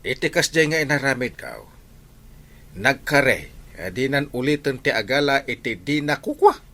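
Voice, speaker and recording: A man's voice: medium pitch, animated, moderate pace, a bit bright. A man with an Ilocano Filipino accent playing a good-natured, fair-minded village captain in a radio comedy drama. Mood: reasonable.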